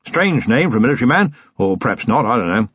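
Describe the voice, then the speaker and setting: Brief: narrator putting on jolly old army colonel, raised lively voice, husky edge. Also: male voice, medium pitch, quick pace, no room sound. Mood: hearty. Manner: hearty, rambling, cheerful. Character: bluff elderly male retired cavalry colonel. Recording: dry, slightly dull recording.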